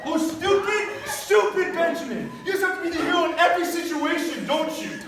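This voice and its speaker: A woman's voice: very high-pitched, a little fast. A woman acting a taunting, volatile, wounded teenage boy shooter in a readers theater stage drama. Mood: sneering. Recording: echoey room, distant mic, noisy.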